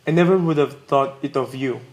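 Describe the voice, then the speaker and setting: A man's voice: medium pitch, brisk, darkish tone, close to an everyday voice. An actor playing a kindly, courteous, wistful older father in a radio play. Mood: puzzled, paternal.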